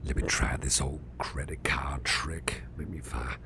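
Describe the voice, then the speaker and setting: A man playing a big, muscular but softened grumpy boss in an ASMR roleplay, speaking in a gravelly, breathy near-whisper with a gentle, slightly formal manner. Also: rumbling low, steady tone, exaggerated voice. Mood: focused, hopeful. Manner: slow, murmuring, gently reassuring, slightly formal.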